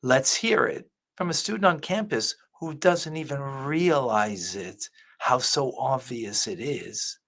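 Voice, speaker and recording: A man's voice: mid-range voice, lively intonation, slow, fairly bright tone. A man, a rabbi, giving earnest religious commentary as he reacts to a clip. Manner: earnest, preacher-like teaching.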